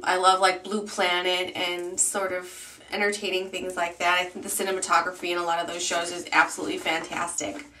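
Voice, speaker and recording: Young woman in her twenties, casual vlogger, bright clear tone, chatty and rambling, slightly distant camera mic. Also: fairly low pitch. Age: 20s. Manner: chatty, rambling with many ums and likes. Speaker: YouTube beauty vlogger. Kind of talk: casual vlog chat.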